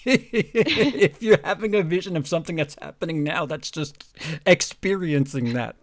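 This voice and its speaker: A man's voice: on the high side, animated, normal speed, no room sound. A man co-hosting in casual comedic banter, bemused and interjecting as he reacts to a reading.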